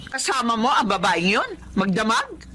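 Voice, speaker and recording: A woman's voice: fairly high pitch, wildly swooping pitch, normal speed. An actress playing a worried, suspicious middle-aged mother in a radio drama, with a Filipino accent and a fretful, emphatic delivery. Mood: upset, scolding. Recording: clearly noisy drama recording.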